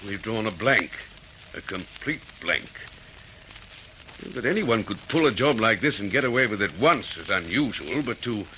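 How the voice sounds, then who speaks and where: An actor playing a dogged, frank police detective in a radio drama, with measured, emphatic delivery. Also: male voice, fairly low pitch, animated, sharp tone, slight background noise, rough recording. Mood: weary, baffled. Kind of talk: old-fashioned radio drama acting.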